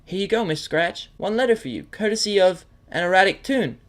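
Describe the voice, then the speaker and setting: A man's voice: high voice, lively intonation, fairly quick, fairly bright tone, voice pushed up. A man voicing a sweet, clumsy female pegasus pony for an audio drama, bubbly, apologetic and eager. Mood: cheerful.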